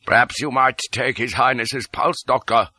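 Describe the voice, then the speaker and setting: A man voicing a grimly shrewd, commanding conspirator in an audiobook, speaking briskly with formal courtesy. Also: medium pitch, fairly even intonation, sharp tone, slightly rough, no room sound, pitched above the performer's own voice. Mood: curt, firm.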